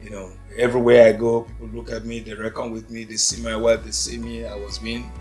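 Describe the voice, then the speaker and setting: A man in his forties from Nigeria, a native Yoruba speaker, telling his life story in an interview with unhurried, thoughtful pauses. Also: lowish voice, monotone, darkish tone. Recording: faint music behind.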